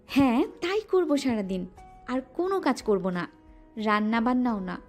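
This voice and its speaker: A woman playing a playful, affectionate young wife in a romantic audio drama, teasing and pouting, with soft music underneath. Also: on the high side, expressive, normal speed, lightly acted.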